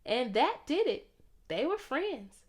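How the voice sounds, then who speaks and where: Higher-voiced woman reading a storybook. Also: sing-song, slow. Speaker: storybook reader. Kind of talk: expressive children's read-aloud narration.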